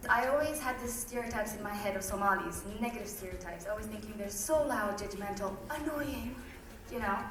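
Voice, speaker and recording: A woman's voice: mid-range voice, a little fast, few pauses, music in the background. A young woman giving a candid personal speech to an audience, chatty, with rhetorical questions. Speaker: guest speaker. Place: event hall. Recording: roomy hall from a distance.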